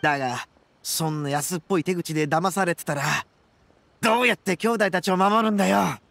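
An actor voicing a brash, boastful young male oni gang boss in a game, with rough, swaggering, melodramatic speech. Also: high-pitched, brisk, a bit bright. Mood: shocked, dejected. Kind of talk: Japanese game voice acting.

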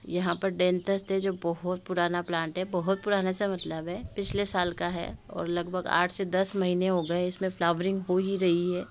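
A woman's voice: fairly low pitch, flat delivery, normal speed, few pauses, a little hiss or hum. A woman giving a casual, chatty tour of her terrace garden, talking unhurriedly to her viewers with many fillers, filmed on a handheld phone outdoors. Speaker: gardening vlogger. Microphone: phone camera held while filming.

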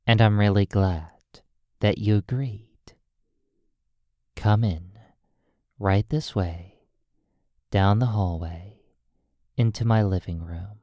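An ASMR hypnosis roleplay by a sleep therapist speaking in a hushed, soothing manner, in drawn-out phrases with long pauses. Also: low voice, no room sound, studio quality.

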